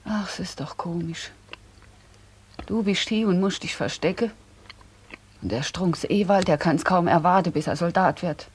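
An actress in a radio drama playing a tender, anxious middle-aged mother who speaks in hushed tones as she hides her son. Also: lowish voice, normal speed, pauses often, slightly rough. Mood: hushed, caring.

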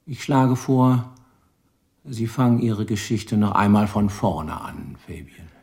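A seasoned, weary detective in a radio crime play. His lowish voice crackles with gravel as he probes in a measured, knowing, insistent manner. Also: a little mellow. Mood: knowing, pressing. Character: world-weary, probing male police detective lieutenant. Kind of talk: German radio crime drama acting.

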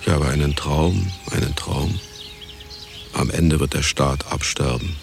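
An actor playing a jaded older male scientist in a radio play, narrating in a wry, regretful way with a gravelly voice. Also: rumbling low, fairly even intonation, unhurried, slight background noise, full character voice. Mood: regretful, reflective. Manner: wry, dismissive, reflective. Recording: studio radio play with music behind.

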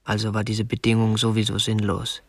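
Radio drama: prim young abductor, obsessive, husky voice, stiff and matter-of-fact delivery. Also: male voice, fairly low pitch, completely flat delivery, fairly quick, no room sound, clean studio sound. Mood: detached recounting. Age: 20s. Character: prim, obsessive young male kidnapper, narrating his deed. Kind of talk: radio drama narration and dialogue.

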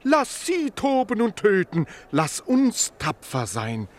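Noticeable noise sits behind a gentle, pious martyr. The voice is very high for a man. He speaks mildly and earnestly, pleading in radio-play style. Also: huge pitch swings, slow pace, exaggerated voice. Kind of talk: German radio play acting.

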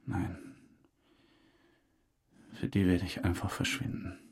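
A man's voice: low voice, monotone, takes it slowly, a little mellow, breathy edge, heavily acted. An actor playing a boastful, weary male suspect who rambles through a confession in a naturalistic radio drama. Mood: resigned, regretful. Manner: rambling confessional monologue, acting out remembered exchanges.

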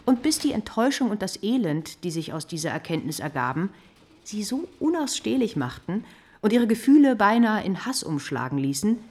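Female period storyteller, radio drama, very lively intonation, medium pitch, emphatic, articulate. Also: normal speed. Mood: dramatic, grave. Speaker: narrator. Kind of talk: literary audio drama narration.

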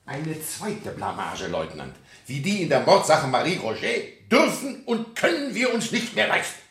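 An actor playing a stern, indignant police official in a German radio drama, speaking with commanding emphasis. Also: male voice, fairly high pitch, very animated, unhurried, fairly bright tone, heavily acted. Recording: echoey, roomy sound.

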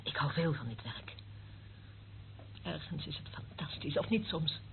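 A woman's voice: deep voice, animated, measured pace, creaky, full character voice. An actress playing a cynical female eavesdropper in a radio play, sardonic and theatrical, relishing what she knows. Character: cynical, gloating female eavesdropper of a secret service. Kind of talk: radio drama acting. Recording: narrow tinny old radio recording, faint noise.

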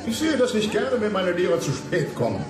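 A man's voice: high-pitched, big pitch swings, a little fast, reverberant, over music. A man playing a fussy, reproving school director in a radio drama.

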